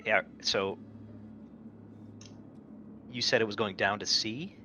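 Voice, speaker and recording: Deep-voiced man, casual game player. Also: steady tone, slow, bright, forceful tone. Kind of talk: casual roleplay chat. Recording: dull lo-fi audio, faint noise.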